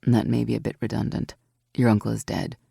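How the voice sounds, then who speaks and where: A woman voicing a strong, protective warrior woman who speaks low and soft in a storybook. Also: fairly even intonation, brisk, gravelly, breathy edge, no echo, studio quality, exaggerated voice. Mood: reassuring.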